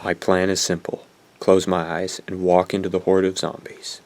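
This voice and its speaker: A man's voice: low-pitched, completely flat delivery, moderate pace, a little mellow. A man playing a bitter, guilt-ridden young man who narrates a horror radio drama in a brooding, self-loathing way. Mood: grim, resigned.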